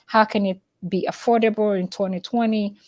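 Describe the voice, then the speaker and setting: A woman's voice: mid-range voice, fairly even intonation, normal speed, darkish tone, dry recording. A woman from Uganda hosting a casual livestream, speaking hesitantly with many fillers and restarts. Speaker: livestream host.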